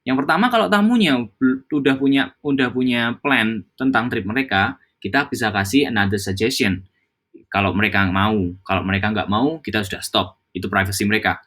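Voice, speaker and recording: A man's voice: lowish voice, a little fast, a bit bright. A young man giving an informal training talk to hospitality coworkers, mixing English phrases into casual speech, with frequent fillers and restarts. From Java, Indonesia.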